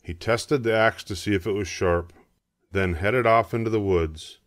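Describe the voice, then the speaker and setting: An older man narrating a children's storybook, reading aloud in a measured, expressive way. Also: on the low side, dry recording, studio quality.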